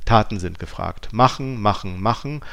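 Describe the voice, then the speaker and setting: Lower-voiced man co-hosting, analytical. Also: lively intonation, normal speed, gravelly, fairly bright tone. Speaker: podcast co-host. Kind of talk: reading listener mail and discussing it.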